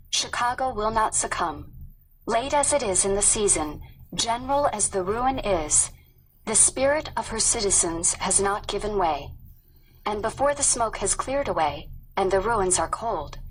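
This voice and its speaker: Female audiobook-style narrator, mid-range voice, measured formal delivery, slight background noise. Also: medium pitch, expressive, poor audio. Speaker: textbook narrator.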